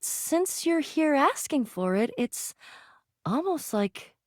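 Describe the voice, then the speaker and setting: A woman's voice: sits high, big pitch swings, takes it slowly, dry recording, studio quality, heavily acted. A woman voicing an eager, quest-loving young female adventurer in a video game, slangy and prone to stammering. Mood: hurt, cold. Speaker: voice actor in a dating game. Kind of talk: scripted video game character lines.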